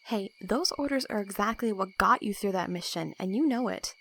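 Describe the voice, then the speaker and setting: A woman's voice: medium pitch, normal speed, creaky, fairly bright tone, slight background noise. A woman playing a sarcastic, bossy young assassin roommate with dry, teasing delivery in an audio roleplay. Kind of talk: casual audio roleplay acting.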